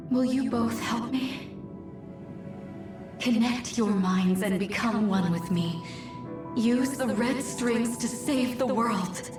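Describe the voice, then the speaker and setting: An actress voicing a machine posing as a gentle mother in a video game, calm and manipulative, pleading in a solemn, measured way. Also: on the high side. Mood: solemn, coaxing. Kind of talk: video game cutscene acting. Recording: very echoey, distant voice, music behind.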